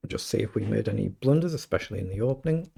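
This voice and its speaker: A British man with a slightly croaky voice, casually commentating his own chess game and thinking aloud. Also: on the low side, expressive, quick pace, warm tone. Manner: thinking aloud, self-questioning. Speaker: chess streamer.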